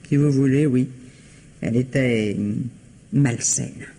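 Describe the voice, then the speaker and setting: A woman's voice: extremely low pitch, slow, dark tone, exaggerated voice. A woman acting as a curt, grudging housekeeper in a French radio mystery, brusque and colloquial. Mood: grudging, gossipy. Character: gruff, curt housekeeper, grudging and plain-spoken.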